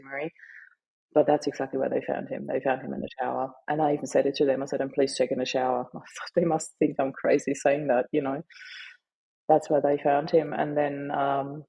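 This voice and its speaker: A middle-aged woman whose first language is German, sharing her story earnestly and haltingly in an interview. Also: rumbling low, creaky, mellow, slightly rough, dull audio. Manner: earnest, halting, with frequent fillers. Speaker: guest, grief advocate and author.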